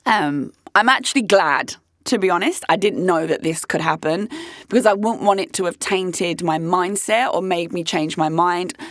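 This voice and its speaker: A woman in her late thirties hosting her own podcast, talking candidly and emphatically in a rambling way, her voice hoarse. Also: fairly low pitch, dry recording, studio quality. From United Kingdom. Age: late 30s. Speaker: podcast host. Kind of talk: casual monologue. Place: podcast studio.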